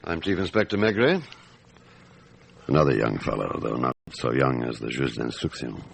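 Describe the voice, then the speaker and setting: Radio drama detective lead: seasoned, gruff inspector, rock-bottom pitch with fry, deliberate and observant. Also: male voice, animated, dry recording, exaggerated voice. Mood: wry. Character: seasoned male police chief inspector, gruff, methodical, observant. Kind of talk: radio drama acting and narration.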